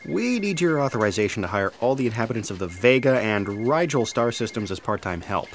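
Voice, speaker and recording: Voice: medium pitch, animated, a little fast, a little hiss or hum, natural voice. An actor playing a dutiful, formal, wordy office aide in a comic sci-fi audio play. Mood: dutiful, pressed. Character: efficient, formal, long-winded office aide.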